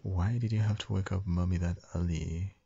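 A man with a gravelly, husky voice doing a soft, intimate boyfriend ASMR roleplay as a loving, doting husband and father. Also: deep voice, steady tone, lo-fi sound. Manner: tender, doting, slow, murmured with soft hums.

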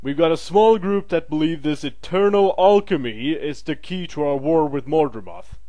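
A man playing a brisk, pragmatic military recruiter in an audio drama, matter-of-fact and wry. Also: fairly high pitch, dark tone, dry recording, lightly acted.

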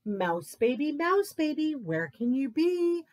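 A woman's voice: sits high, sing-song, slow pace, a little mellow, exaggerated voice. A woman voicing a playful father mouse who calls for his hiding child in a sing-song rhyming chant.

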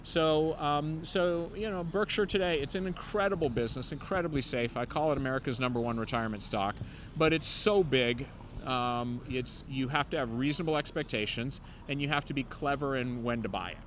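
A man's voice: medium pitch, a little fast. A man in his fifties explaining investing as an interview guest, confident and fluent, speaking into a handheld mic on a city sidewalk. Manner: fluent and confident, many "um" fillers. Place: city sidewalk outdoors, traffic passing. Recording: outdoor street recording with clear traffic noise.

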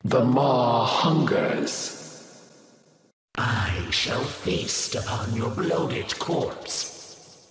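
An actor voicing a ravenous, menacing monstrous beast in a video game, declaiming ominously. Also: male voice, mid-range voice, animated, drawn-out words, pauses often, fairly bright tone. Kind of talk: video game villain lines. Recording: noisy, distant, echoing.

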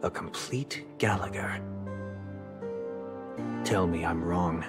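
A man voicing a refined, vengeful brother in a video game, speaking in a formal, measured, accusatory way with dramatic weight. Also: deep voice, loud music behind the voice. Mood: accusing, measured.

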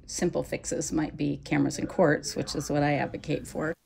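A woman's voice: sits low, fairly even intonation, moderate pace, gravelly, crowd noise. A woman asking friendly, conversational questions in a street interview.

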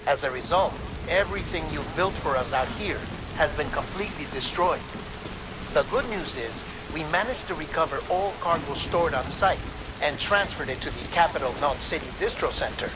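A man's voice: a little high, lively intonation, normal speed, background noise, close to an everyday voice. A man playing an earnest, encouraging outpost colleague in a video game. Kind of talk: video game acting, radio briefing. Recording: clear background rain and ambience.

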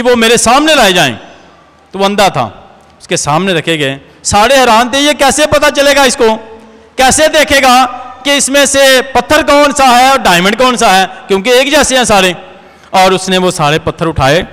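A man's voice: sits high, fairly bright tone. A man in his thirties with a Pakistani Punjabi accent preaches a sermon emphatically as a priest, repeating phrases for effect. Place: large church, indoors at a pulpit. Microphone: gooseneck pulpit microphone. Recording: reverberant church hall.

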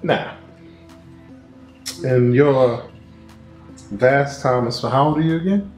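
A man interviewing an older man for a documentary, asking measured questions politely. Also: mid-range voice, darkish tone, faint music behind. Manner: measured questioning, calls the guest sir. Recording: roomy room sound.

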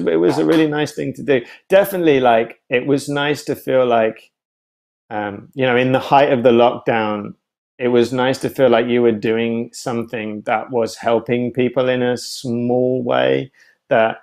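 A man in his thirties speaks as an interview guest over a video call. His voice sits at a middle pitch. He is thoughtful and hesitant, often circling back to restart a phrase as he looks for the right word. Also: creaky, darkish tone. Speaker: guest, writer and theatre artist. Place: home room with bookshelves, indoors. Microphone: video call, wired earphones.

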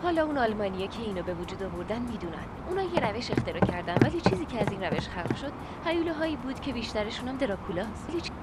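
A woman narrating a game intro for an amateur fan dub, reading rapidly. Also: fairly high pitch, big pitch swings, heavy background noise.

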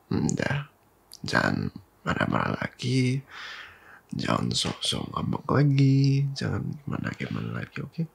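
A man whispering softly and intimately in a comforting boyfriend ASMR roleplay, coaxing and teasing with quiet laughs and stray English words. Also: medium pitch, creaky, breathy edge. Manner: mixes English words into casual talk.

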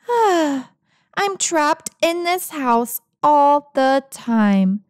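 A woman's voice: high-pitched, very animated, drawn-out words, no room sound, studio quality, heavily acted. A woman voicing a grumpy, dramatic, self-pitying house cat with sarcastic, theatrical complaining in a picture-book read-aloud. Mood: bored, mopey.

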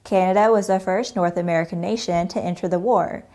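A young woman reads scripted news as the show's anchor. Her delivery is steady and even, recorded cleanly in a studio on a clip-on mic. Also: fairly low pitch, brisk. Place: studio in front of a green screen.

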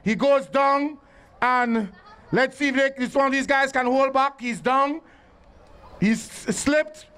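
High, bright race caller. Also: male voice, slow, smooth. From Belize. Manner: excited calls, repeating horse names. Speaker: race announcer. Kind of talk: live horse racing commentary. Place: outdoor racetrack.

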